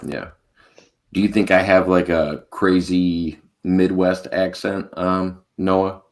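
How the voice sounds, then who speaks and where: A man's voice: low-pitched, flat delivery, takes it slowly. An American man co-hosting a podcast, chatting casually and rambling, often laughing. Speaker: podcast co-host. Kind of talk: casual chat.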